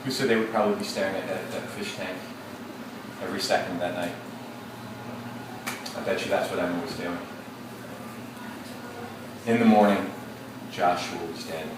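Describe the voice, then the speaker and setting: A male teacher reads a story aloud to a class, slowly and deliberately, and stops to think aloud and ask questions. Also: low voice, fairly even intonation. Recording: echoey classroom from far off, background noise.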